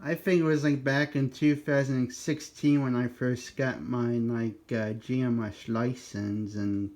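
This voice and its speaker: A man with a mid-range voice talks casually as a radio hobbyist on a two-way exchange. His delivery is halting, and he often interrupts himself and starts again. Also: medium pitch, fairly even intonation, darkish tone. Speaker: amateur radio operator.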